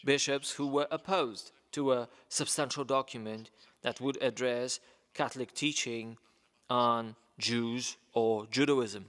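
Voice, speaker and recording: A man's voice: medium pitch, steady tone, a little slow, a bit bright. A man reading a letter aloud at a ceremony in a formal, hesitant way, with many fillers and stumbles over names. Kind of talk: formal speech read aloud. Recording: long reverb of a large hall.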